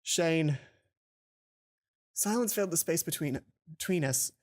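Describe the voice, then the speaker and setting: A woman reading a visual novel aloud for a let's play, expressive, with casual asides of her own. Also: on the low side, fairly quick. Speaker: let's player.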